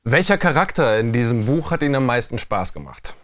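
A man's voice: mid-range voice, very animated, fairly quick, fairly bright tone, no echo, clean studio sound. A man hosting a podcast interview, putting prepared questions to his guest.

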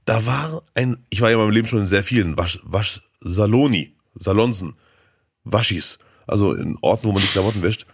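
A man with a slightly hoarse, scratchy voice from a cold, co-hosting a casual podcast and telling rambling stories full of fillers. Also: on the low side, fairly quick, no echo, studio quality. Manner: chatty, storytelling, rambling with fillers. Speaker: podcast co-host.

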